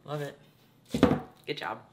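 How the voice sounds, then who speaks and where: A young woman vlogging casually. She thinks out loud and fills her talk with "like". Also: takes it slowly, noisy background. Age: young adult. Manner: chatty, thinks aloud with many likes. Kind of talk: casual chat. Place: small wooden boat cabin, indoors. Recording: music playing under the voice.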